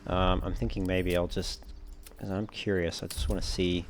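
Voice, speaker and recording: A man giving casual, low-key let's play commentary, musing aloud as he plays. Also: flat delivery, normal speed, a little hiss or hum. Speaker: gaming video host. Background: game music.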